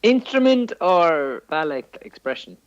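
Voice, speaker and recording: A man's voice: a little high, big pitch swings, a little slow, muffled recording, a crowd in the background. A man co-hosting a comedy podcast over a remote call, chatting casually in an emphatic, mock-serious way. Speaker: podcast co-host.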